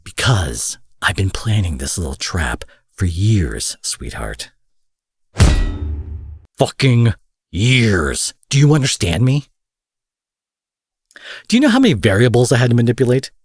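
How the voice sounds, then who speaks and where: A man's voice: on the low side, very animated, unhurried, long pauses, husky, exaggerated voice. A man playing an angry, menacing supervillain in an audio drama, profane, sarcastic and theatrical, taunting with mock affection. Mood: smug, taunting. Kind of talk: roleplay audio drama monologue.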